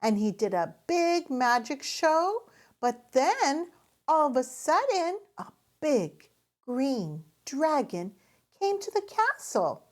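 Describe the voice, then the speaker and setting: A woman voicing an excitable, imaginative little girl piglet from a storybook, eager and insistent. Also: sits high, takes it slowly, voice pushed up, full character voice. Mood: excited.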